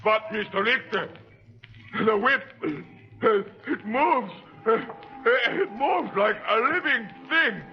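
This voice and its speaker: An actor in a 1930s radio drama playing a frightened, superstitious brute of a servant, stammering and halting. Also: male voice, very high-pitched, lively intonation, full character voice. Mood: terrified. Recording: dull old 1930s radio recording.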